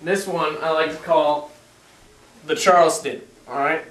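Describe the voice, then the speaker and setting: A man's voice: a little high, steady tone, a little slow, fairly bright tone, a little hiss or hum. A man playing a genial, dapper 1920s newsman who presents in period slang with a showman's manner. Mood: chatty. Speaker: news anchor. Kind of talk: scripted 1920s news broadcast. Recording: echoey room, distant mic.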